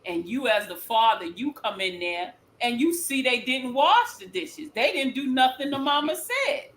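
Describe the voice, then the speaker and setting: A woman's voice: sits high, expressive, normal speed, fairly bright tone, echoey room. A woman on a panel, explaining her point through everyday examples in a casual discussion.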